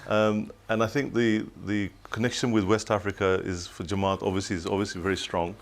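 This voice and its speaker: Older man from Tanzania, very low voice, reflective studio guest, halting and full of restarts. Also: little pitch movement, a little hiss or hum. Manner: reflective, hesitant, many restarts. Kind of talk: telethon studio interview.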